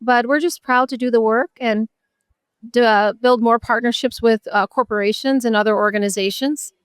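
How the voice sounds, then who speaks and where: A woman's voice: fairly high pitch, fairly even intonation, moderate pace, smooth, dry recording. A middle-aged woman with an American accent speaking earnestly and emphatically as a guest in a conversational interview. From Buffalo, New York, USA. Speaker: interview guest, nonprofit advocate.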